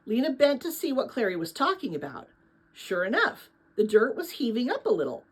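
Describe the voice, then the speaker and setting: A woman narrates a storybook as a read-aloud. Her voice sits fairly high with a slightly husky texture. The delivery is expressive and varied in pitch, with a casual touch. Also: brisk, rough recording.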